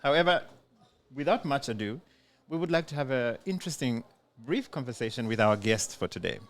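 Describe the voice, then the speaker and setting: A man's voice: mid-range voice, lively intonation, a little fast. Easygoing male podcast co-host. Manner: easygoing, with frequent ums and repeated words. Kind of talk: casual podcast chat. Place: community centre building, on location.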